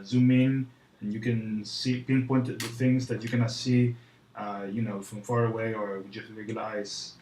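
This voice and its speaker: Monotone man with a put-on French accent. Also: mid-range voice. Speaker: tutorial presenter. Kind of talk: informal how-to tutorial. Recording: roomy echo, faint background noise.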